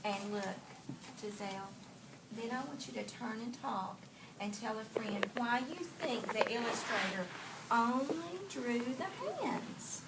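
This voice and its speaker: A woman with a fairly high, creaky voice leads a read-aloud lesson for young pupils. She prompts them with questions and echoes back what they offer. The recording is roomy, with clear classroom noise. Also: a little slow. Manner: prompting, repeats and affirms pupils' answers. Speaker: early grades teacher reading aloud to a class. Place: classroom with children heard around. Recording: roomy, distant mic, noisy classroom.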